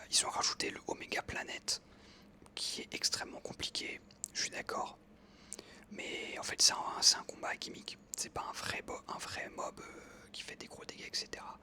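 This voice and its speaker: Whispered ASMR narrator, very bright and sibilant, close-miked casual game commentary. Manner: whispering throughout, hesitant pauses with frequent self-corrections. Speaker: ASMR video creator.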